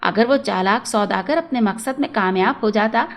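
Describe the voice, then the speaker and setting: A woman's voice: medium pitch, moderate pace, close to an everyday voice. A woman voicing an affectionate, grateful male shopkeeper in a storybook tale, speaking earnestly.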